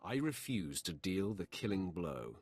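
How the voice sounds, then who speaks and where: An actor voicing a cold, menacing Sith warrior in a video game, curt, mocking and self-assured. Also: male voice, deep voice, big pitch swings, unhurried, breathy edge, no echo, exaggerated voice. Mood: cold mockery. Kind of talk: scripted video game acting. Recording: music behind the voice.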